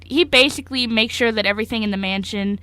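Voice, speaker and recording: A woman's voice: fairly high pitch, fast, sharp tone, clean tone, dry recording. A woman co-hosting an amateur radio show, explaining things in casual chat. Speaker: radio show co-host and writer.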